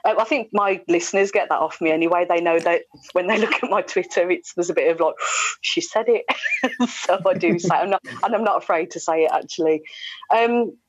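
A woman's voice: lowish voice, fairly quick, no echo. A middle-aged British woman, a radio presenter, answering as an interview guest in a conversational style over a video call. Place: indoor room, video call. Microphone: wired earphones with inline mic. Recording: dull, slightly lo-fi video call audio.

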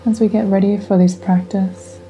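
A woman guiding a meditation in a gentle, soothing, unhurried way, speaking in calm instructions. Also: medium pitch, rough recording. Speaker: meditation guide. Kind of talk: guided meditation. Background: relaxing music.

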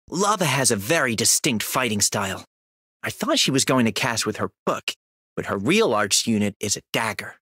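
A man voicing a courteous, earnest young cat-like mage operative in a video game, speaking formally and with measured care. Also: medium pitch, sharp tone, dry recording, clean studio sound. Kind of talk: English dub game voice lines.

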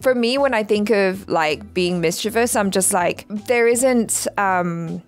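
A woman's voice: mid-range voice, takes it slowly. A woman co-hosting a reaction video, talking casually and earnestly, in a reflective way, about a story.

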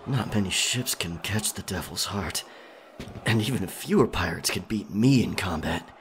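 A man acting out a defiant, roguish, flirtatious captured pirate captain in a husky-voiced ASMR roleplay, with wry, theatrical phrasing. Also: sits low, animated, moderate pace, background noise. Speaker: audio roleplay performer. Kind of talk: ASMR roleplay acting, one-sided dialogue.

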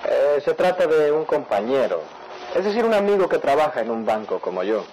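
A man's voice: fairly high pitch, very animated, brisk, a little mellow, poor audio. Anxious, hesitant young man. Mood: nervous, polite. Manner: hesitant, deferential. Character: anxious, polite young man hiding trouble. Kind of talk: radio soap opera acting. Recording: old radio drama, faint noise.

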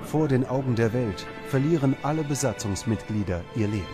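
A man reading scripted documentary narration in a measured, dramatic manner. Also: mid-range voice, expressive. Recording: music under the voice. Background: background music.

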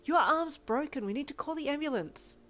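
A woman voicing a blunt, hungry young character who talks in slang and flat deadpan, quick to snap, in a storybook reading. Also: medium pitch, voice pushed up. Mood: distraught. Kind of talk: audiobook character voice.

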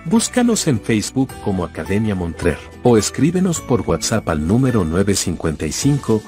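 A man's voice: fairly low pitch, normal speed, soft background music. A man reading a commercial voice-over in a persuasive, announcer style. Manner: persuasive, lively delivery.

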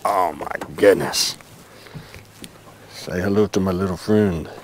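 A man's voice: low-pitched, takes it slowly. A middle-aged man giving enthusiastic, folksy running commentary while fishing, in a casual way. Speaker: fishing vlogger. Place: outdoors on a small open boat on a lake. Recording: clear outdoor wind and boat noise.

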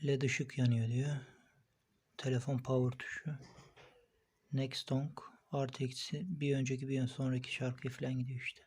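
A man's voice: mid-range voice, flat delivery, normal speed, darkish tone, breathy edge. A man giving a casual, hands-on product review, thinking aloud informally as he records on a phone. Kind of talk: casual hands-on unboxing and installation commentary.

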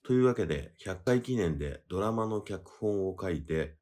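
A man's voice: deep voice, darkish tone. A man, a radio show host, talking in a chatty hosting style.

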